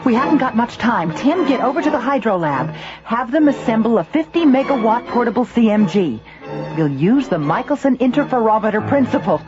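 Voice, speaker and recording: Actress as brisk lady science boss in a radio drama, lively intonation, kinda muffled recording. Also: fairly high pitch, natural voice. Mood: urgent, decisive. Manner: decisive, impatient, probing. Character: brisk, commanding woman research director and scientist. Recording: dull radio recording, loud music behind.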